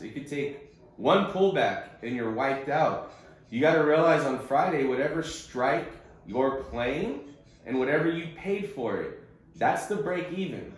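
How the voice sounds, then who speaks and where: A man with a mid-range voice speaks in a strongly reverberant room, as on a group call. He coaches informally, in a blunt, insistent way. He leans on repetition to drive his points home. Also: mid-range voice. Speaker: day-trading coach. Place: conference call recording in echoey room.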